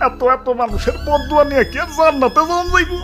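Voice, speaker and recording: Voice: high voice, quick pace, over music, natural voice. A performer voicing a self-pitying, defensive cartoon electricity worker who pleads plaintively in speech. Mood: aggrieved pleading. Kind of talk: comic cartoon monologue.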